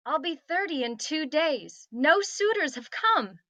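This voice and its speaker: A woman's voice: high-pitched, sing-song, a little slow, pushed and bright, exaggerated voice. A woman playing a glum, lonely young princess nearly thirty in a fantasy table read, dramatic and self-pitying. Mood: despondent.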